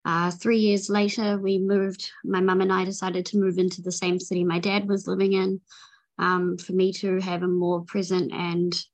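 A woman's voice: lowish voice, low-quality recording. A young woman with a New Zealand accent, sharing her recovery story in a tired, rambling way full of ums and restarts. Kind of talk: personal recovery share.

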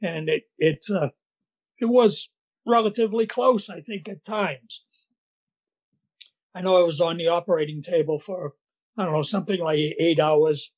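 A man's voice: on the high side, darkish tone. Scratchy-voiced older Boston man. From Massachusetts, USA. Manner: halting, with many fillers and restarts. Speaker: speaker at a recovery meeting. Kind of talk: personal recovery story.

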